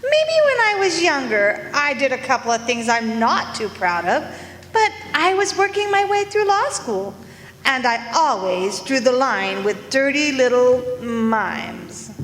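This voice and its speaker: A woman's voice: high voice, very animated, measured pace, a bit bright, heavily acted. An actress in a live radio play playing a scheming female former psychic who talks in a haughty, indignant way. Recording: echoey hall with faint noise.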